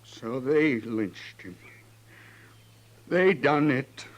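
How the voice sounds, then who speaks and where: A man's voice: mid-range voice, animated, drawn-out words, dull audio. An actor playing a bitter, vengeful man of the Old West, intense and embittered, in a 1950s radio drama. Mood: bitter rage. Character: bitter, vengeful western man consumed by hatred.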